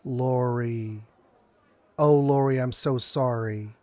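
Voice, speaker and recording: A man playing a cautious, caring young man with a gentle, hesitant manner in an audio drama. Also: mid-range voice, steady tone, slow pace, no room sound, natural voice. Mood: sympathetic.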